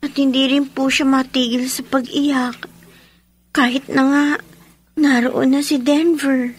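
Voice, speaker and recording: A woman's voice: high-pitched, level tone, slow pace. An actress playing a sorrowful, respectful young woman in a Filipino radio drama, speaking plaintively. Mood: worried.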